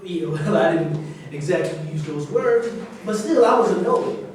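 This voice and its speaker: A man's voice: high-pitched, animated, moderate pace, a little mellow. A man in a staged reading, playing a bitter, cynical former football player. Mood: rueful. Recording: echoey room from far off, faint noise.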